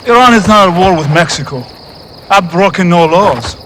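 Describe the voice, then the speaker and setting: A defiant captive Iranian commander in a game cutscene. His voice sits high and swings widely as he protests with indignation. Faint night noise and insects sit behind him. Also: expressive, moderate pace, fairly bright tone, rough recording. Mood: indignant. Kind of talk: cinematic game acting.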